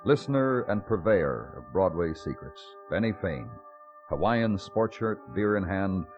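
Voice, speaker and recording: World-weary detective, low voice, terse, brooding, old radio crime drama, dulled sound. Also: male voice, expressive, measured pace. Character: weary, hard-boiled male New York police detective. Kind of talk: 1950s radio crime acting, poetic noir narration. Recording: muffled old radio recording. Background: music.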